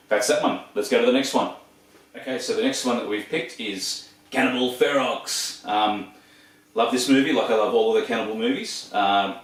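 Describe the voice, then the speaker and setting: A man in his forties with an Australian accent, chatting casually about his collection with lots of ums and restarts. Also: on the low side. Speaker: video host. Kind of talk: casual show-and-tell. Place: room full of shelves and posters. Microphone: camera across the room. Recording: echoey room, distant mic.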